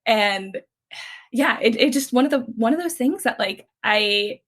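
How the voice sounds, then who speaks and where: A woman's voice: a little high, expressive, unhurried, slightly rough. Chatty woman from California. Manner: chatty, many restarts and fillers, laughs easily. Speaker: guest. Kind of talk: casual conversational storytelling.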